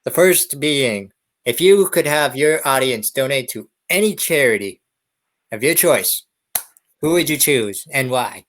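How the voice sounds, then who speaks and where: A man's voice: mid-range voice, lively intonation, a little slow, a bit bright. A man hosting a podcast interview in a friendly, conversational style, putting questions to a guest.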